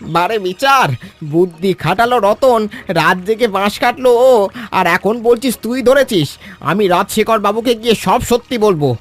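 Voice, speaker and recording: A man playing a loyal, protective village uncle in an animated children's story, blunt and aggrieved in manner. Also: high-pitched, unhurried, faint music behind. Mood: indignant.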